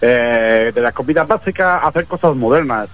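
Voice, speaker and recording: A man's voice: mid-range voice, expressive, moderate pace, fairly bright tone, muffled recording, faint music behind, natural voice. A man voicing a boastful male glam rock star with a bad fake American accent and English catchphrases. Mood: hyped. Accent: Tarragona Spanish. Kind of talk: comedy radio sketch.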